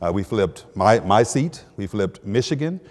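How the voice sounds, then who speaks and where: A man from Texas, speaking as a guest on a political panel, halting and repeating his words with many fillers. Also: lowish voice, very animated, darkish tone, long reverb tail. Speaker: panel guest, attorney general. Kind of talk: panel discussion.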